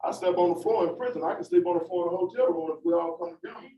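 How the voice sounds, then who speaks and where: A man speaks out with fervent, repetitive emphasis, his voice pitched high. He is caught from a distance in a roomy hall on a dull, muffled recording, with a faint hiss behind him. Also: rapid-fire. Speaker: formerly incarcerated community speaker. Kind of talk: impassioned panel remarks. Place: large meeting room.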